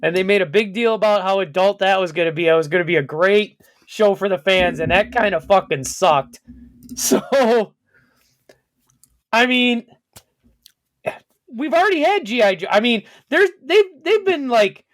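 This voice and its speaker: A man's voice: high-pitched, expressive, normal speed. A man co-hosting a casual talk show, blunt and swearing freely, often breaking into laughs. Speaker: co-host. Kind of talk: casual podcast banter.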